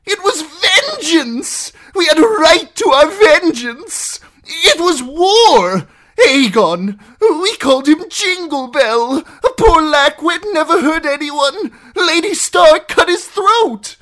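A shrill, squealing captive nobleman in a fantasy audiobook. He whines and pleads very high, frantic and self-excusing, with wildly swinging pitch. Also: expressive, takes it slowly, pushed and bright, heavily acted. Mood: shrill outrage. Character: cowardly, pleading captive lordling, adult man, whining and shrill. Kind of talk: audiobook character acting.

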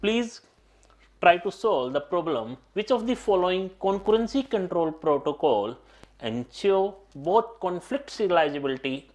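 A middle-aged man lectures at a whiteboard, his pitch rising and falling widely as he stresses each point. He keeps repeating key ideas and turns often to address his students directly. He speaks through a clip-on mic in a small studio classroom. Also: expressive, moderate pace, darkish tone. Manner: repetitive, emphatic teaching, often addressing "my dear students". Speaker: teacher. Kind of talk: exam-prep lecture at a whiteboard.

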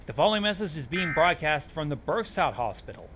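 A man's voice: on the high side, sing-song, a little fast, background noise. A man hosting a reaction show, reading on-screen text aloud and adding commentary.

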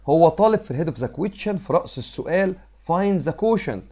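Hoarse Egyptian male math teacher. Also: fairly high pitch, expressive, fast, dark tone. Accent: Egyptian Arabic. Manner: explains step by step, mixing English math terms into Arabic. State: hoarse from a cold. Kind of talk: screen-recorded math lesson. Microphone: voice-over on a screen recording.

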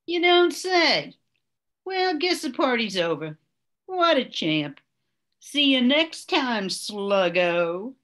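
An older woman playing a tough, bitter, blackmailing motel clerk with sardonic, drawn-out delivery in a radio crime drama. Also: a little high, big pitch swings, a bit bright, exaggerated voice. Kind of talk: 1940s radio drama acting. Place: home room, video call. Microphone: computer mic on a video call.

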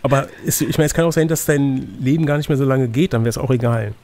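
A man's voice: mid-range voice, fast talker, darkish tone. A man reading a fantasy gamebook aloud as game master, with casual, teasing asides.

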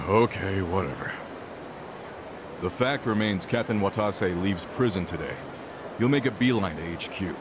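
Exasperated gangster little brother, low male voice, blunt and sweary, game cutscene, loud street noise behind. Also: measured pace. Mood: stern, pressing. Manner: blunt, nagging, profane. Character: exasperated gangland younger brother, blunt loyal planner. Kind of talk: dramatic video game cutscene dubbing.

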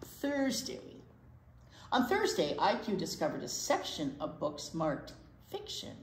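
Older female storytime reader for children: lower-pitched, expressive, recorded in a roomy space. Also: slight background noise. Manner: expressive, pausing for asides to young listeners. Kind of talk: reading a picture book aloud to children. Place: indoor library storytime set. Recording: roomy indoor sound.

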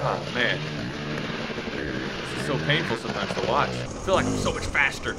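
Excited man vlogging from a dirt bike. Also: mid-range voice, moderate pace, gravelly. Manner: excited, rambling, cheering on riders. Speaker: motovlogger. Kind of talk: casual race motovlog commentary. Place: riding a dirt bike on a wooded trail, outdoors. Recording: helmet cam with loud engine noise.

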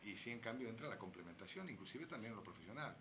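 A man's voice: lowish voice, lively intonation, fast talker. A middle-aged man hosting a television interview and putting questions to his guests. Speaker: interviewer, host. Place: room at home, seated at a table. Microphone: handheld microphone. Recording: noisy 1970s television archive recording.

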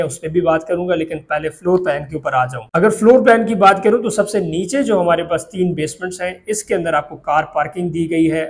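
A young man with a Pakistani Urdu accent presenting property prices to camera in a steady, explanatory sales pitch, recorded indoors in an office on a lapel mic. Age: young adult. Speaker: real estate agent.

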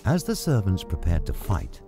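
Man narrating with very animated pitch, over music. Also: moderate pace. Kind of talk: scripted storybook narration. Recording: music behind the voice. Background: plucked guitar music.

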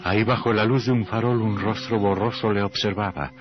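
A man's voice: on the low side, steady tone, a little fast. A man narrating a horror radio play in a theatrical, ominous, suspenseful manner. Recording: dull lo-fi old radio recording. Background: dramatic music.

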